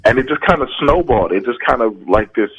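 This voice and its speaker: A man's voice: mid-range voice, animated, fast talker, breathy edge, no echo, muffled recording. A man, a stand-up comedian, chatting casually on a podcast about his start, rambling with frequent laughs. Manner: laid-back, rambling, laughs often.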